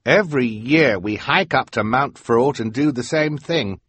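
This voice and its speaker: A man's voice: medium pitch, unhurried, fairly bright tone, breathy edge, no room sound, pitched above the performer's own voice. A man voicing a resigned young scout in a storybook reading. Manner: resigned, offhand.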